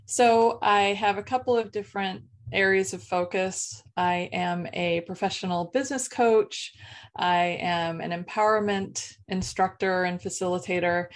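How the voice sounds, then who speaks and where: A woman life and business coach, answering interview questions in a calm, measured, explanatory way. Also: lowish voice, gravelly.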